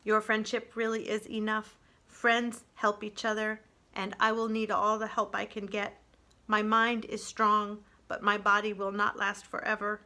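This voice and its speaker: A woman voicing a gentle, earnest female robot in a children's storybook, with measured, formal phrasing. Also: mid-range voice, completely flat delivery. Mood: calm, earnest.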